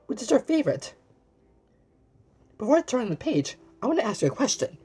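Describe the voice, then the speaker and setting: Woman, children's story reading, swooping intonation, husky edge, exclamatory, halting with repeated words. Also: fairly high pitch, very animated, warm tone. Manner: exclamatory, asks the listener questions and pauses as if answered. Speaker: storybook reader. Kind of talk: animated children's read-aloud with asides to the viewer.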